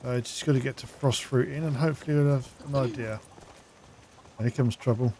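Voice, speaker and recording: A man's voice: medium pitch, a little fast, a little hiss or hum. A man hosting a gaming video, giving casual playthrough commentary and reading in-game text aloud. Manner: chatty, self-narrating. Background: soft game music.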